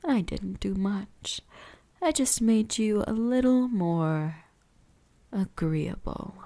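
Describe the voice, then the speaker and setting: A woman voicing a possessive, teasing vampire huntress in an ASMR roleplay, breathy and whispery, with a deliberate, softly menacing delivery. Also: medium pitch, expressive.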